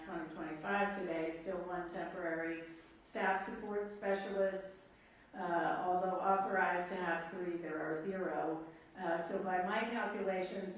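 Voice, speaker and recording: A woman with a medium-pitched voice speaks formally as an official at a public hearing. She is deliberate and halting, leaning firmly on her key points. The room is very echoey and she sounds distant from the microphone. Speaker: board official questioning staff at a hearing. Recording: echoey room, distant mic, faint noise.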